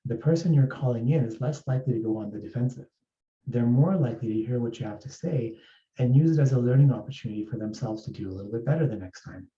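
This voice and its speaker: A man from East Africa giving a measured, explanatory workplace presentation as an HR speaker. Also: mid-range voice, mellow. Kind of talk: presentation talk. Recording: roomy, distant, dull sound.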